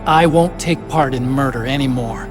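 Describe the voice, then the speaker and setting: A man's voice: medium pitch, normal speed, over music, close to an everyday voice. An actor playing an earnest, guilt-ridden, geeky male engineer in a dramatic video game. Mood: remorseful. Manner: earnest, expressive, self-reproaching.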